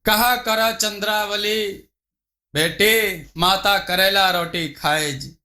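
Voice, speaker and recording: एक पुरुष कथावाचक, जो लोककथा के विवश और दुखी पिता राजा का किरदार पद्य जैसे लहजे में बोल रहा है।. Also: male voice, high voice, fairly even intonation, slow, sharp tone, heavily acted. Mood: resigned. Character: helpless, sorrowful fairy-tale king, a father bound by promise.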